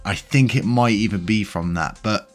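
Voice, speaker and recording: A man's voice: fairly low pitch, normal speed, soft background music. A British man hosting a crypto channel, talking in a rambling, casual way with lots of fillers. He is congested and slightly wheezy. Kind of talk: casual news commentary.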